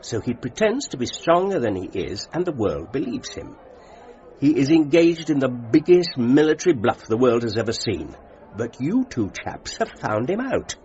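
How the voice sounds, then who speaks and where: An actor playing a genteel British ambassador with an upper-class English accent, polite and understated, in a radio drama. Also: male voice, mid-range voice, very animated, normal speed, darkish tone, highs cut off, natural voice. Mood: grave, frank. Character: genteel, urbane British ambassador, wry and frank.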